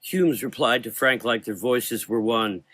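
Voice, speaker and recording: A man's voice: mid-range voice, a little slow, fairly bright tone. A man reading scripted narration as the storyteller of a gothic horror drama.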